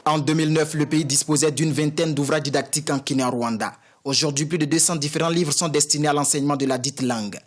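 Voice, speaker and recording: A man reporting as a news correspondent, reading a scripted piece in a brisk, formal way. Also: a little high, fairly even intonation.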